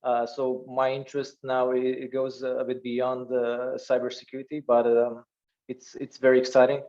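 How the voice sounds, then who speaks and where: A man's voice: mid-range voice, completely flat delivery, normal speed, dark tone, lo-fi sound. A man introducing himself on an online panel, hesitant with many fillers and restarts. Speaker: panelist, security lead. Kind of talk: self-introduction on a video call panel.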